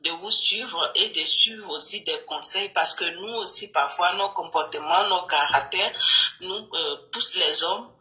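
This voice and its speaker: Woman caller, hoarse from a cold. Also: fairly low pitch, a little slow, bright, forceful tone, low-quality recording. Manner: grateful, earnest. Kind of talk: phone-in testimony.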